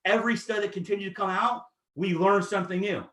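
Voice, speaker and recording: A man in his thirties giving an energetic, persuasive sales pitch, talking straight to his audience. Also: quick pace, roomy sound. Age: 30s. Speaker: network marketing presenter.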